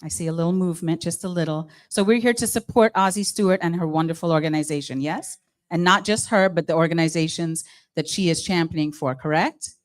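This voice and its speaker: Guyanese lady mc at a charity night, lowish voice, hypes the audience up. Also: brisk. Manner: lively, coaxing the audience. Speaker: mistress of ceremonies. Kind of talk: live event hosting.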